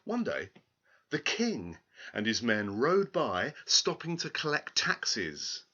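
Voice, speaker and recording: A man telling a fairy tale aloud in a theatrical, deliberate, expressive way. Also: mid-range voice, lo-fi sound. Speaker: storyteller.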